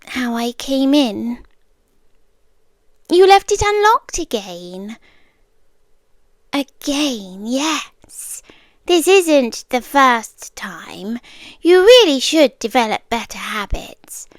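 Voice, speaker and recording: A British woman playing a calm, obsessive stalker girlfriend in an ASMR roleplay, speaking softly and deliberately close to the microphone, often drifting into whispers. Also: high-pitched, no room sound, heavily acted. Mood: quietly chiding.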